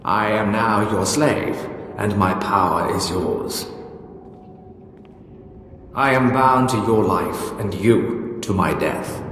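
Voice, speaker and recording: An actor playing an ancient, proud Sith ghost in a video game, speaking in a measured, imperious and menacing way. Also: male voice, on the low side, fairly bright tone. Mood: solemn. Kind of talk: dramatic video game acting. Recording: cavernous long reverb, faint noise.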